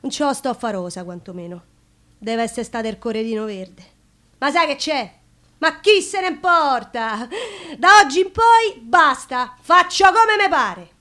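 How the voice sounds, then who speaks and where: A woman's voice: high voice, lively intonation, a little slow, sharp tone, full character voice. An actress playing a wry, defiant Roman woman in a colloquial, ironic theatre monologue, with a Roman accent. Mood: ironic, resigned.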